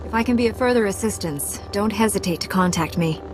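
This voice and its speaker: A woman's voice: medium pitch, quick pace, noisy background, close to an everyday voice. An actress playing a confident, dryly witty female Jedi Knight in a video game, cool and assured. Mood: dutiful. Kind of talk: video game cutscene acting. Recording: echoey hall, music behind.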